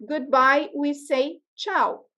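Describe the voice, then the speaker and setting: A woman's voice: high voice, steady tone, slow pace, muffled recording, crowd noise. A woman from Brazil with a Brazilian Portuguese accent, speaking English as her second language and teaching a class, often checking in with "okay?". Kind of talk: interactive classroom lesson. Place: classroom with students.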